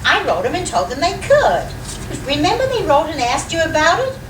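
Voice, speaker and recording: An actress playing a scatterbrained, sweetly scheming wife in old-time radio comedy style, fluttery, innocent and coaxing. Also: female voice, sits high, wildly swooping pitch, moderate pace, far from the mic. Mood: innocently pleased. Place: hall at a lodge, live performance. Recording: echoey, noisy live stage recording from afar.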